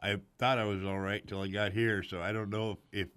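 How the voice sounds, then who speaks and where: A man, a studio guest getting over a cold, talking in a halting, laid-back way. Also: lowish voice, little pitch movement, a bit bright, clear, resonant tone.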